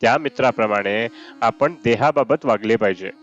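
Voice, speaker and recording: A man reads a spiritual discourse aloud in a measured, deliberate way. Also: medium pitch, highs cut off. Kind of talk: read-aloud devotional discourse. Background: Indian classical raga music with sitar.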